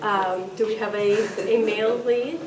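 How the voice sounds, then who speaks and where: A woman's voice: mid-range voice, steady tone, moderate pace, darkish tone. A woman informally organizing an event, addressing a group at a meeting in a friendly manner. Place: meeting room, away from the mic. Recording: distant, echoey, slightly noisy meeting room.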